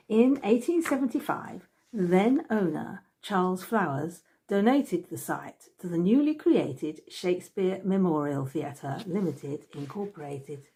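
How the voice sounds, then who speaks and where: Woman reading aloud with lively pitch. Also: slightly rough. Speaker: author reading from own book. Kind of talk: scripted narration, reading aloud.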